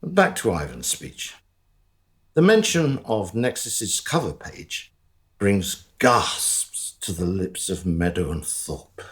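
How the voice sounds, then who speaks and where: An actor playing the wry narrator of a radio comedy drama, reading scripted storytelling asides. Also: male voice, sits low, sing-song, slow pace, a bit bright, exaggerated voice.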